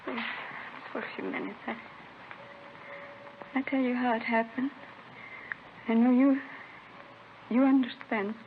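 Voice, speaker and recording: Tinny vintage radio high-voiced desperate wife. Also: female voice, fairly even intonation, unhurried, long pauses. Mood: weary, resigned. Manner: pleading, insistent denials in short phrases. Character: desperate young wife clinging to a stolen baby. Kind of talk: 1950s radio drama acting. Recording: tinny, noisy old radio recording.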